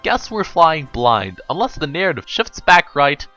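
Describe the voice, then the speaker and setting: A man's voice: a little high, sing-song, unhurried, sharp tone, lo-fi sound, faint music behind, heavily acted. A man voicing a breezy, scheming male pony in a storybook reading, with an offhand, casual manner.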